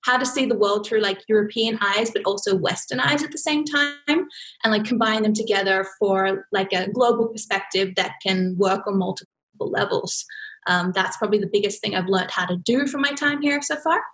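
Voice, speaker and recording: A woman's voice: medium pitch, normal speed, fairly bright tone, poor audio. Chatty woman with a faint Australian accent. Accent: mild Australian, softened by years in Sweden. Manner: chatty, many likes and ums. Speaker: guest, content marketing manager. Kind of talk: remote podcast interview.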